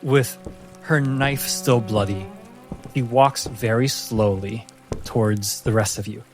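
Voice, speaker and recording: A man working as game master, narrating a tabletop fantasy game dramatically with suspenseful, deliberate phrasing. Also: lowish voice, steady tone, music in the background.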